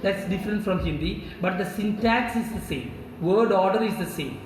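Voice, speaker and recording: A man's voice: high-pitched, moderate pace, background noise. A man from Kerala with an Indian English accent and Malayalam as his first language, giving a classroom lecture and quizzing students with repeated key words. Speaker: visiting professor. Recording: echoey room, mic far off.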